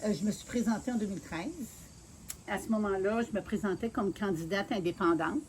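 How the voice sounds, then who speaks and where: A Québécoise woman in her sixties speaks in a measured, earnest way, pausing often to find her words. Her voice sits at an ordinary middle pitch, with faint crickets and insects chirping behind her. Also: slight background noise. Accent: Quebec French. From Quebec City, Canada. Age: 60s. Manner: measured and earnest, with many filler pauses. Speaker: mayoral candidate being interviewed. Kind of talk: casual interview, telling her life story.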